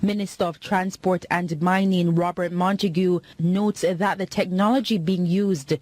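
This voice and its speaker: A woman's voice: fairly low pitch, steady tone, unhurried, a little mellow. A Jamaican woman narrating a scripted television news report.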